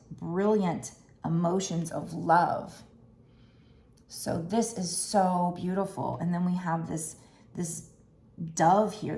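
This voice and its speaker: A woman doing a tarot card reading, talking gently and musingly with restarts, her throat a little raspy. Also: on the low side, roomy sound. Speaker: tarot reader.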